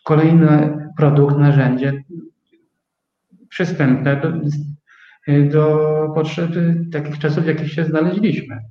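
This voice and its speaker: A man explaining his own business as an interview guest, in a halting, careful way. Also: on the high side, little pitch movement. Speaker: guest, start-up co-owner. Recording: roomy, slightly dull sound.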